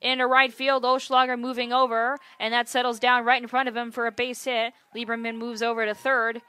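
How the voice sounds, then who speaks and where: A woman's voice: fairly high pitch, flat delivery, a little fast, bright, forceful tone, no echo. A woman giving live play-by-play commentary as a sports announcer. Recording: clear gymnasium and ballpark ambient noise.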